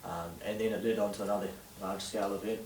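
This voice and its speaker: A young man in his late teens with a New Zealand accent, answering interview questions haltingly with many fillers. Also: on the low side, steady tone, darkish tone, a little hiss or hum. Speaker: interviewee, young DJ and event organiser. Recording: distant roomy camera sound with faint ambience.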